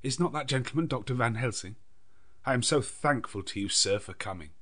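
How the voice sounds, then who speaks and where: An eager young gentleman from a gothic novel, as a male reader plays him. He sounds anxious and devoted, with brave earnestness. The pitch is lifted above the narrating voice. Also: expressive, a little fast.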